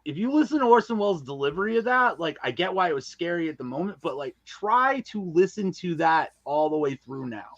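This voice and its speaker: A man's voice: on the high side, expressive, a little fast, few pauses, no echo, muffled recording. Man with a dry, close sound, chatting candidly. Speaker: guest, audio drama writer and filmmaker. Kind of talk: casual podcast interview.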